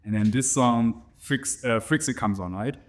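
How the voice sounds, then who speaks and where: A man's voice: medium pitch, normal speed. A man in his thirties with a slight German accent, a DJ telling stories about his records in a casual interview, rambling and tagging his sentences with "right?". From Germany and Namibia. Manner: rambling, many restarts and "right?" tags. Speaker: DJ guest.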